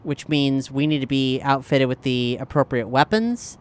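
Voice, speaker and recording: A man chatting casually as a tabletop game player, thinking aloud in a halting way. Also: medium pitch, clean tone.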